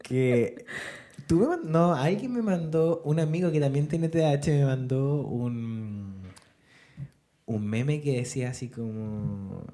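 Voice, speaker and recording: Very deep-voiced Chilean woman, mellow. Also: lively intonation, takes it slowly. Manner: informal, playful, slangy. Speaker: podcast host. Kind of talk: casual chat.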